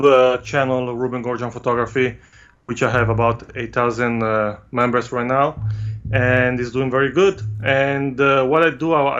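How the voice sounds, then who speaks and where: Italian-accented man, halting non-native delivery, muffled video-call sound. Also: medium pitch, a bit bright. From Italy. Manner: hesitant, frequent "uh" pauses, non-native phrasing. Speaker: guest photographer. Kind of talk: remote interview, casual explanation. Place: home studio.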